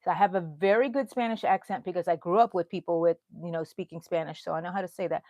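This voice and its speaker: A woman's voice: fairly low pitch, quick pace, a little mellow, no echo. Chatty woman rambling on a livestream. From Houston, Texas. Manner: chatty, rambling, answers viewer comments aloud. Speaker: crochet channel host. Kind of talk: casual livestream chat while crocheting.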